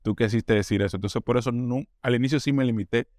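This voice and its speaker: A man's voice: medium pitch, quick pace, a little mellow, no room sound. A Colombian man talking at length in an interview, often restarting words and acting out what others said. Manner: talkative, restarts words, acts out quoted voices. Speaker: interview guest, newcomer. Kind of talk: casual interview.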